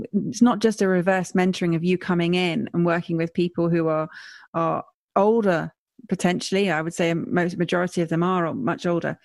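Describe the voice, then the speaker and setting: 落ち着いて質問する女性のインタビュアー、やや低い声、残響のない乾いた音。. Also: female voice, lowish voice, a little mellow, dry recording, studio quality. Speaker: interviewer. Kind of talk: interview questions.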